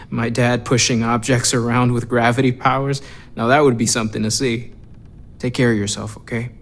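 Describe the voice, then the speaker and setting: A man playing a friendly, gentle and playful companion in a video game. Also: mid-range voice, flat delivery, normal speed, natural voice. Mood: fond, playful. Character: friendly, gentle, playful believer, devoted son.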